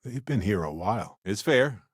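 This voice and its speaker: Wry, lively low-pitched space explorer. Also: male voice, normal speed, breathy edge, no room sound, studio quality. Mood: wry, conversational. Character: upbeat, wisecracking, warm-hearted male space explorer companion. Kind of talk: scripted video game companion dialogue.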